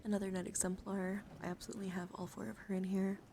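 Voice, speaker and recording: Female ASMR stream host, mid-pitched, soft casual commentary slipping into whispery murmurs, close mic over audible background noise. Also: level tone, quick pace, gravelly. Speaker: livestream host. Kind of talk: soft-spoken ASMR card show-and-tell.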